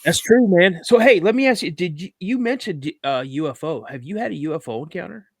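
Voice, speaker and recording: A man's voice: sits high, animated, a little fast, slight background noise. A man in his fifties hosting a podcast, easygoing and chatty with short friendly questions. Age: 50s. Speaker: podcast host. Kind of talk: casual interview chat.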